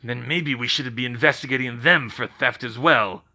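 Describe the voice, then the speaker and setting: A man's voice: medium pitch, moderate pace, sharp tone. A man voicing a haughty, scornful male goblin ambassador who growls his words. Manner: growling, contemptuous.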